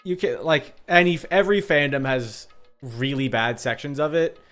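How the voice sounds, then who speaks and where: A man's voice: mid-range voice, moderate pace, a bit bright. A man streaming a game and chatting with viewers in a rambling, informal, sweary way. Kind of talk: casual chat with viewers. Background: music.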